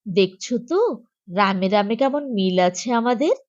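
A woman's voice: mid-range voice, expressive, unhurried, a little mellow, lo-fi sound, lightly acted. A woman playing an ingratiating male private tutor, coaxing and falsely sweet, in a comic audio story.

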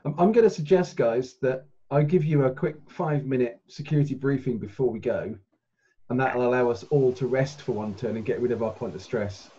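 Mellow man in a tabletop game. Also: medium pitch, a little fast, highs cut off, slight background noise. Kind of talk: casual game talk on a group call.

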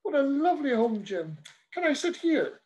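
Pushy, breezy priest, middle-aged, man performing, lifted pitch. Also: big pitch swings, normal speed, a little mellow, dull audio. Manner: chatty, breezy, oblivious.

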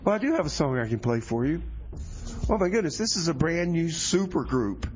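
A man's voice: a little high, sing-song, brisk, a little mellow. Man plays flustered slacker community-radio host, rambling and repetitive, dull lo-fi sound, some noise. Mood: upbeat. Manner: rambling, repeats himself. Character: flustered, slacker male music-show DJ. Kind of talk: community radio comedy show banter.

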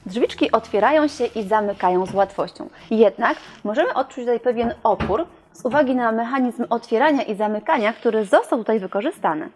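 A young woman with a fairly high voice walks viewers through furniture in a showroom, explaining each detail clearly as a scripted presenter. Faint music plays softly under her speech. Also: expressive, fairly quick. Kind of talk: scripted product review.

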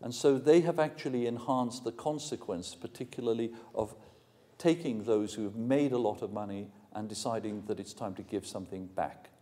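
A man's voice: mid-range voice, normal speed, dark tone. A man in his sixties from Wales, giving a frank, plain-spoken public lecture and answering questions in a large hall. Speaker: university lecturer. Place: large ornate hall, indoors.

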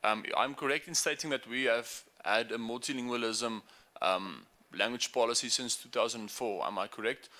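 A young man asking a formal, measured question at a university debate into a desk microphone. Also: medium pitch, pushed and bright. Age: young adult. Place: university meeting room.

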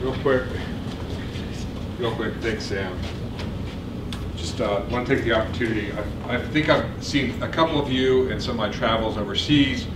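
Middle-aged man, emphatic orientation speaker, mid pitch, noisy lecture room. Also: moderate pace, pauses often. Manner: energetic, emphatic, checks in with okay and right. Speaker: program administrator. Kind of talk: orientation speech.

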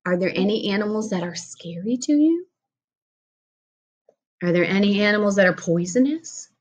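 A woman in her forties reads a picture book aloud to a small child, patient and teacherly, pausing to ask questions. Her voice sits in an ordinary middle range. It is picked up by a webcam across the table in a home kitchen. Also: mid-range voice, animated, darkish tone. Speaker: speech teacher reading aloud. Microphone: webcam at table distance.

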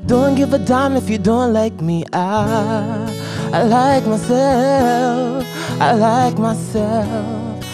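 A young Barbadian man, a talent show contestant who sings to his own acoustic guitar on a studio stage and speaks softly and earnestly about his song. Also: very high voice, very slow. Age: young adult. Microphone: stand mic. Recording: acoustic guitar behind the singing.

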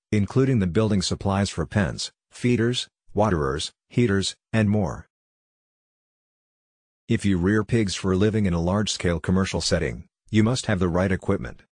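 Deep, husky male voice-over narrator. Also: a little mellow, dry recording, studio quality.

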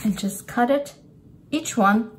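Higher-pitched older woman demonstrating cooking. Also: slow pace. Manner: unscripted, talking through each step while working with her hands. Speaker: cooking instructor. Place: home-style kitchen, indoors at a counter. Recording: clear kitchen clatter and background noise.

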